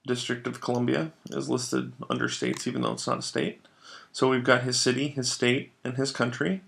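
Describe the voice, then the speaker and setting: Gravelly male software trainer, step-by-step. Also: medium pitch, lively intonation, normal speed. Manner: instructional, explanatory. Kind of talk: step-by-step screencast tutorial narration.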